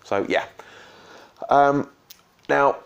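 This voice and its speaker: A man with a slightly croaky voice giving a rambling, casual post-match reaction as a football fan vlogger. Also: mid-range voice, very slow, highs cut off. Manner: rambling, full of ums and restarts. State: slightly croaky from shouting and drinks.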